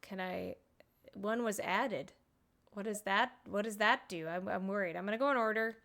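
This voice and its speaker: A woman's voice: medium pitch, normal speed, creaky, fairly bright tone. A woman game streamer reacting casually to what she plays, playful and gushing.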